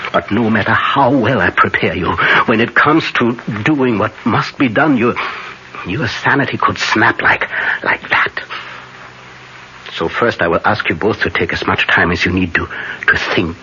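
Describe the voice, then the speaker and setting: An actor playing a grave, commanding older professor who hunts vampires in a classic radio horror drama, speaking solemnly with emphatic dramatic pauses. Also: male voice, lowish voice, pushed and bright. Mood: ominous. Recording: dull, noisy vintage radio broadcast.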